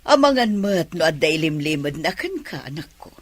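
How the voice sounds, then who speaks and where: Woman acting husky, worried mother. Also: deep voice, very animated, brisk, exaggerated voice. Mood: concerned. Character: worried, cautioning mother of a grown daughter. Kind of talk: radio drama acting.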